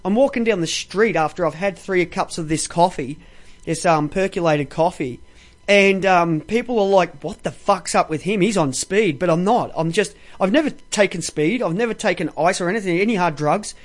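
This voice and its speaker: Male talk host, high voice, chatty and rambling, loose and sweary. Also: normal speed. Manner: talkative, coarse-mouthed. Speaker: show host. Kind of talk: rambling casual live talk show.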